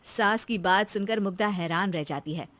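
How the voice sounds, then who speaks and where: A woman's voice: medium pitch, normal speed, a bit bright, no echo. A woman reading scripted narration for a cartoon story.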